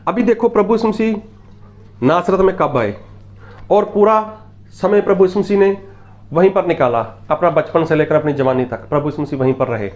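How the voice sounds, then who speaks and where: A man's voice: high voice, animated, moderate pace, a little mellow. A man from Punjab, preaching a sermon in a repetitive, emphatic teaching style and asking rhetorical questions. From Punjab, India. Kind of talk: Hindi sermon with Bible readings.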